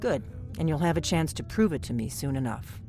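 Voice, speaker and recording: A woman's voice: low voice, animated, brisk, a little mellow, soft background music. Cautious female innkeeper spy in a video game; conspiratorial, urgent, faint score underneath.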